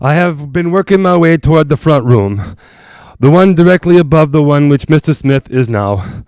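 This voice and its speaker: A male actor playing an earnest doctor investigating a haunted house in a 1940s-style radio drama, reporting in a formal, measured way. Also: a little high, animated, darkish tone, clear, resonant tone, no room sound, close to an everyday voice. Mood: earnest, intent.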